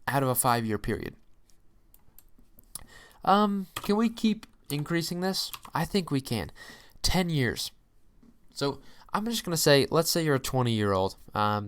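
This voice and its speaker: A man's voice: medium pitch, clean tone. A young man giving an informal, emphatic explainer as a channel host, peppered with folksy exclamations and rhetorical questions. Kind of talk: informal explainer, walking through a calculator.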